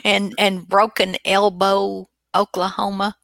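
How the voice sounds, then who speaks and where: Slow-talking woman, close and dry. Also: mid-range voice, level tone, gravelly, a bit bright, no room sound. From United States. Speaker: host. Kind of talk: casual chat introducing old radio dramas.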